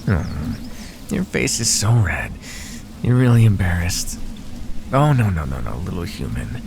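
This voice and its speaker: Deep, smug snake-man predator, acted by a man. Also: sing-song, measured pace, gravelly, background noise, heavily acted. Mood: mocking amusement. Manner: mocking, condescending, drawling pet names. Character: smug predatory male naga, teasing and menacing. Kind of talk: ASMR fantasy roleplay.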